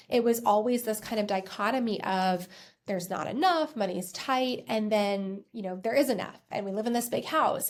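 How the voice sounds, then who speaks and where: An American woman in her thirties hosting a podcast, telling a personal story in a conversational, self-reflective way with emphatic repetitions. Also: a little high. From United States. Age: 30s. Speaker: podcast host and accountant.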